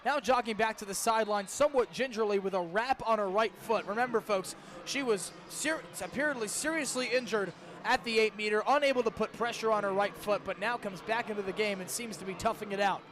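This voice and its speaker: A young American man calling live lacrosse play-by-play for radio, with emphatic, dramatic calls that swell into shouting. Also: high voice, fairly quick, slight background noise. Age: young adult. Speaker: student radio play-by-play broadcaster. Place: stadium, calling games in person.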